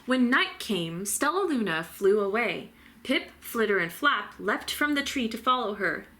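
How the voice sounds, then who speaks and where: A woman reading a children's storybook aloud as a teacher, with an expressive, varied delivery. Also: fairly high pitch, a little slow. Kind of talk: animated storybook read-aloud narration.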